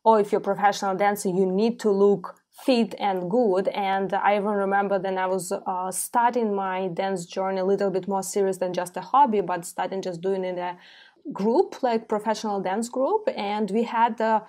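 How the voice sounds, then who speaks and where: A woman hosts a podcast in a Ukrainian accent, thinking aloud as she talks. She pauses and restarts often, searching for the right words. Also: medium pitch, steady tone, darkish tone. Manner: thoughtful, halting with many fillers. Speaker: podcast host. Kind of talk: casual interview chat.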